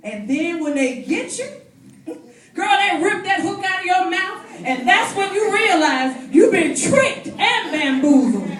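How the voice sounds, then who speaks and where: A woman plays a sassy, protective girlfriend in a live stage drama. She is blunt and sardonic, stretching her words slowly for emphasis in a high voice. The sound is distant, ringing around a roomy hall. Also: lively intonation. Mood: mocking, emphatic. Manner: emphatic, drawn-out, sardonic, preacherly flourishes. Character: sassy, blunt, protective best friend, scolding and incredulous. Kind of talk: live stage play acting. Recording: echoey hall from far off.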